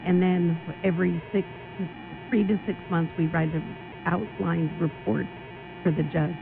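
Gravelly woman guest on tinny radio. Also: fairly low pitch, steady tone, a little slow. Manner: earnest explaining, with many ums. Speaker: volunteer program guest. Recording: tinny phone-like broadcast with music.

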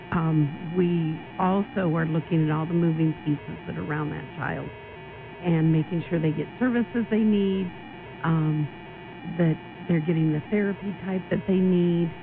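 An earnest woman explains her volunteer program on a radio show, pausing often with ums. Her voice has a gravelly edge. The sound is narrow and phone-like, with clear music behind her. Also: fairly low pitch, steady tone. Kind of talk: radio interview guest. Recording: tinny phone-like broadcast with music.

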